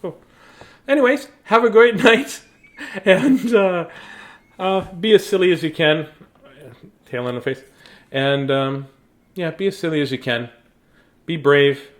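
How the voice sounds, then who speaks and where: A man with a high-pitched voice hosts a casual live story session. He talks in a chatty, rambling way and pokes fun at himself. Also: a little slow, long pauses. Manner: rambling, chatty, self-deprecating. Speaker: bedtime story reader and host. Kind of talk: casual live chat to viewers.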